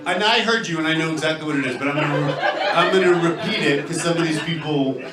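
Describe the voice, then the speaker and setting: A man doing stand-up crowd work, teasing audience members in a halting back-and-forth. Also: fairly high pitch. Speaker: stand-up comedian. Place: comedy club stage with audience laughter. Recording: roomy live club, loud crowd noise.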